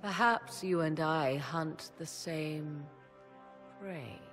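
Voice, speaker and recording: A haughty mysterious court sorceress, fantasy game, slow sly pauses, old-timey wording, music behind it. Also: female voice, low-pitched. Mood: knowing, silky. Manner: theatrical, archaic, measured diction with sly pauses. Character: enigmatic, haughty sorceress, arcane advisor at court. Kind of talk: fantasy game cutscene acting.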